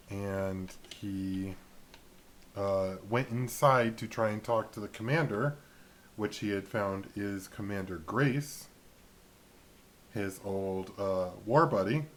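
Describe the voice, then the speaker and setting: A man casually recapping a tabletop game as a co-host, in an informal, matter-of-fact way. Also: on the low side. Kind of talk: casual chat and recap narration.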